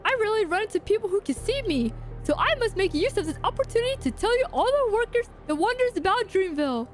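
Woman putting on a perky, very high cartoon clock mascot. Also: big pitch swings, quick pace, soft background music. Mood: chummy, eager. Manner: theatrical, sing-song.

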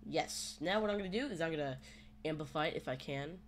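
A man hosting a casual software tutorial, talking in a hesitant, rambling way. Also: a little high, fast. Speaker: tutorial host. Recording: home microphone with background noise.

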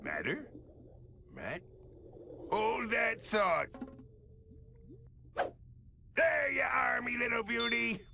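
An actor playing a greedy, blustering crab boss in a cartoon video game, with a gravelly growl and salty sailor talk. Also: male voice, extremely high pitch, sing-song, very slow, long pauses, music in the background, exaggerated voice. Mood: blustering, excited. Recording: clear background noise under voice.

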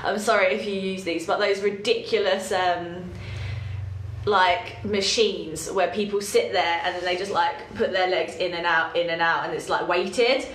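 A young woman with a stuffy nose from hay fever, teaching a casual yoga class at home, chatty with laughing asides, then slow and calm cues. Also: fairly low pitch. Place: home room with yoga mat. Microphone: camera across the room. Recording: very echoey room, faint background hiss.

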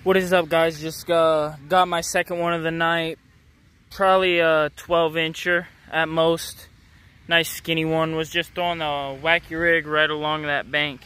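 A man's voice: on the high side, little pitch movement, unhurried, sharp tone, clear, resonant tone. A young man casually vlogging his fishing catches to viewers, talking into a handheld phone outdoors. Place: outdoors at a city pond edge. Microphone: phone camera held in hand.

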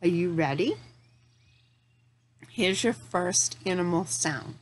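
A woman's voice: on the low side, animated. Middle-aged lady teacher talking to little kids, really up and down voice, asks lots of easy questions, bit of background noise. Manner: questioning, encouraging, simple phrasing with pauses for answers. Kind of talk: interactive lesson for young children.

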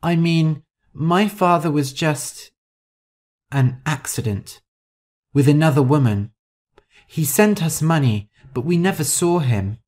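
A woman's voice: sits low, animated, slow, a little mellow, voice pushed up, full character voice. A woman voicing a timid, frightened, apologetic young woman in an audiobook story, speaking hesitantly. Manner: hesitant, faltering.

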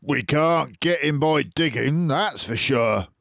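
A man's voice: on the high side, lively intonation, slow pace, vocal fry, fairly bright tone, no room sound, clean studio sound, pitched above the performer's own voice, heavily acted. A fat, weary farmer grumbles through his complaints in a slightly raised voice. An older man voices him in a read-aloud children's book. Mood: grumbling. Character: fat, grumpy, tired farmer.

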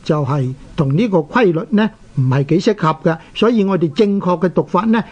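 A man with a Hong Kong Cantonese accent presenting a scholarly radio lesson, explaining in a deliberate, didactic way with drawn-out particles. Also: sits high, darkish tone. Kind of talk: scholarly scripted lecture with recited verse. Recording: dull, lo-fi radio recording.

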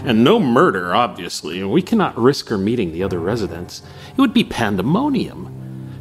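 An actor playing a pompous, nervously deluded asylum doctor in a gothic radio drama, theatrical and jittery. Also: male voice, medium pitch. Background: music under the voice.

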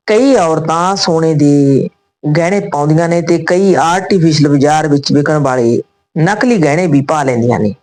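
A woman reading a scripted story and its dialogue in a deliberate, phrase-by-phrase manner. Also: fairly low pitch, darkish tone.

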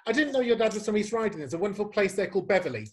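Man, camp interview host, slightly high voice, playful, effusive, video call from home. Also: big pitch swings, racing.

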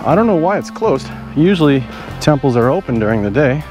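A man's voice: on the high side, very animated, a little fast, music in the background. A man in his thirties filming a casual travel vlog outdoors at arm's length, thinking aloud as he wanders without a plan. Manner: easygoing, thinking aloud, unplanned. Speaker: travel vlogger. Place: outdoors in parks and streets. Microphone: handheld camera at arm's length.

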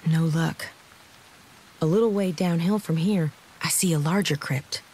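A woman's voice: deep voice, measured pace, darkish tone, breathy edge, poor audio. An actress playing a confused young woman left for dead, narrating her story in a casual, wry, hesitant way for an audio drama. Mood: wary. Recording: dramatic music behind the voice.

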